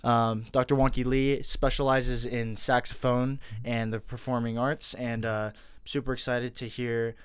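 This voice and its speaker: A man hosts a casual podcast interview with eager energy, with many "um"s and "uh"s between his questions. His voice is smooth and mid-pitched. The sound is dry and close. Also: level tone, a little slow, hardly any pauses, no echo. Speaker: podcast host.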